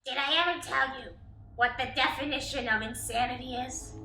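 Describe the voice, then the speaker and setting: A shrill unhinged menacing puppet. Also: male voice, steady tone, a little fast, sharp tone, reverberant, soft background music, exaggerated voice, low-quality recording. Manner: menacing, unstable, creepy taunting. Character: unhinged menacing man, a paper-bag puppet.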